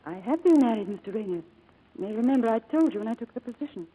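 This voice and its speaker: A woman playing a devoted, quietly sorrowful secretary secretly married to her boss, in 1940s radio drama style. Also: a little high, animated, fast talker, slightly rough. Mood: sorrowful, wistful. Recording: muffled old radio broadcast recording.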